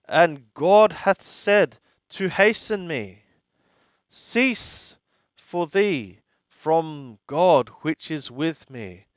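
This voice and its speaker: Man, scripture reader and translator, slow halting phrases, smooth clear voice, dry dull recording. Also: fairly high pitch, no echo. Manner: deliberate, halting phrasing with self-corrections.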